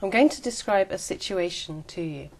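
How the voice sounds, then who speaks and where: Polite woman examiner, measured prompts. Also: mid-range voice, animated, a little hiss or hum, poor audio. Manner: clear, measured, polite instructions. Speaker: speaking exam examiner. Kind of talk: scripted exam prompts.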